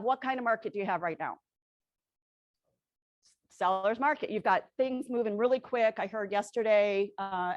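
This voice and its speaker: Woman giving an upbeat sales presentation. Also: mid-range voice. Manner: energetic, asks the audience questions, tags lines with "right?". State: losing her voice. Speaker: presenter at a real estate agent training session. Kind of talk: sales presentation to a live audience.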